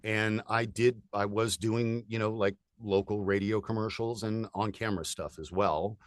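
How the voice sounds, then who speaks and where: A man in his forties from California, a voiceover artist chatting as a guest in an upbeat, energetic way with lots of fillers. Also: on the low side, moderate pace, dry recording, studio quality. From United States (California). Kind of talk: casual interview chat.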